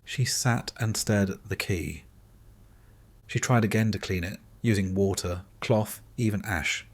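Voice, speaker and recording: A man narrates a scripted bedtime story in a calm, soothing, measured way, in deliberate short phrases with pauses. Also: fairly low pitch.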